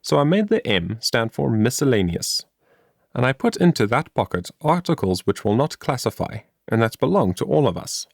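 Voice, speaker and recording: A man's voice: medium pitch, sing-song, moderate pace, creaky, dry recording, studio quality. A man voicing a cheerful, industrious young woman in a storybook, in a chatty, earnest manner. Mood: earnest, musing. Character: cheerful, industrious young woman explaining her homemade invention.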